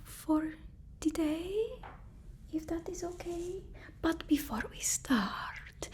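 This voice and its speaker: A woman playing a fawning, sinister assistant to an evil overlord in an ASMR roleplay, whispering breathily with slow, servile relish. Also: high voice, darkish tone, a little hiss or hum, voice pushed up. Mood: eager to please. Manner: obsequious, slow, drawn-out, gleefully servile.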